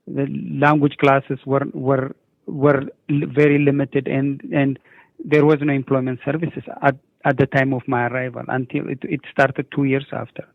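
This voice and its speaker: A middle-aged man with an Ethiopian accent answers interview questions haltingly, repeating words and stammering on word starts, on a narrow lo-fi recording. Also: medium pitch, creaky, no echo. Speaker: newcomer program coordinator, interview guest.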